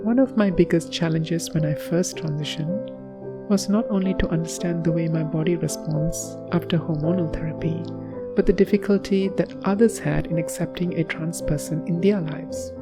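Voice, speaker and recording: Low-voiced woman, calm and reflective. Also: normal speed, over loud music. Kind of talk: scripted self-introduction.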